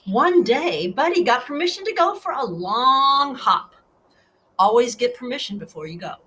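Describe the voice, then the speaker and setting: A woman's voice: high voice, sing-song, moderate pace, bright, forceful tone, a little hiss or hum. A woman narrating a children's storybook expressively, with asides to her young listeners. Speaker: storybook reader.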